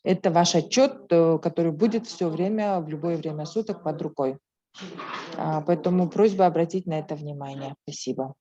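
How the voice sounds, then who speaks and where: A woman's voice: low-pitched, normal speed, mellow, highs cut off. A woman earnestly urging municipal participants in an online meeting. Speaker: speaker addressing municipalities. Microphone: video call audio.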